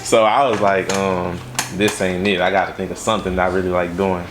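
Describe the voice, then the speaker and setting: A man's voice: low-pitched, flat delivery, brisk, noisy background. A young man from Los Angeles raised in Baton Rouge, a music video director answering interview questions in a laid-back way with lots of fillers. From Los Angeles, raised in Baton Rouge, Louisiana.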